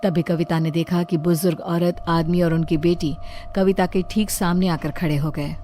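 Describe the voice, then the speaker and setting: A woman's voice: lowish voice, steady tone, normal speed, warm tone, faint music behind. A woman reading scripted horror story narration as the storyteller.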